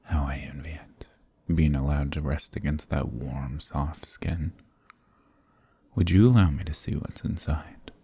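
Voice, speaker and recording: Casting: dominant vampire lord for ASMR drama; dark, husky, gravelly whisper-edged voice, silky menace, close and dry. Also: male voice, very deep voice, dry recording, full character voice. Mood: probing, covetous. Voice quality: gravelly, breathy, often dropping to a whisper. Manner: courtly, silky, teasing, menacing, drawn-out phrasing. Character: possessive, sadistic, suavely dominant male vampire lord. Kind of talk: ASMR roleplay, intimate scripted monologue.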